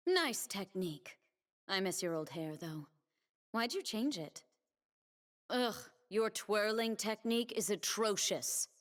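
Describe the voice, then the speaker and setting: A woman's voice: mid-range voice, lively intonation, slow pace. A woman playing a proud, stern young warrior who defends her homeland, with a solemn, defiant, cutting delivery for a video game. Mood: haughty, teasing. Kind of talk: video game character voice lines.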